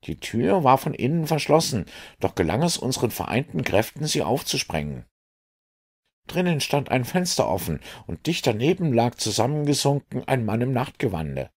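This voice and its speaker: A man reading an audiobook, voicing an agitated police inspector in a husky, animated voice. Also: medium pitch, moderate pace, pitched above the performer's own voice. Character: gruff, agitated middle-aged male police inspector.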